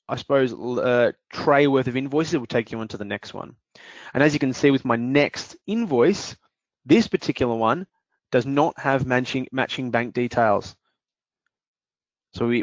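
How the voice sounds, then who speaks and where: A man presenting a screen-share software demo, explaining each step in a steady way with occasional fillers. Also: mid-range voice, dry recording, highs cut off.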